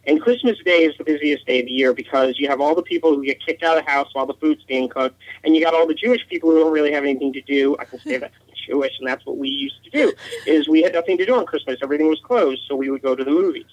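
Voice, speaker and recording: A man's voice: fairly high pitch, very fast, no room sound, lo-fi sound. A man speaking as a podcast guest, arguing his opinions emphatically in a casual conversational style.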